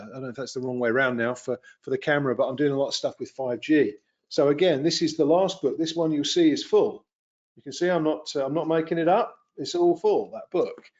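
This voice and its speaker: A man's voice: medium pitch, a little mellow, dull audio. A man in his fifties giving an unscripted webinar lecture, conversational and rambling, with many fillers and restarts. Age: 50s. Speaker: webinar presenter. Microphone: computer webcam and mic.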